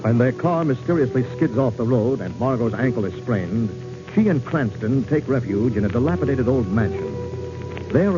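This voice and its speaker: A man narrating a suspense drama in 1940s radio style. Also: medium pitch. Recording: muffled old radio recording with music.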